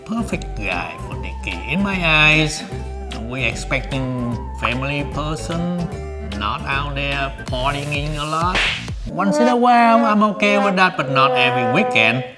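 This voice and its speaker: A man's voice: a little high, lively intonation, measured pace, over loud music. Middle-aged man. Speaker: father interviewing his daughter's suitors. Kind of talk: casual unscripted interviewing.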